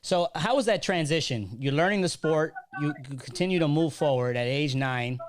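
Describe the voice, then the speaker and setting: A man's voice: on the high side, moderate pace. A man hosting an interview, introducing his guest and asking encouraging follow-up questions. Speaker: interviewer, host.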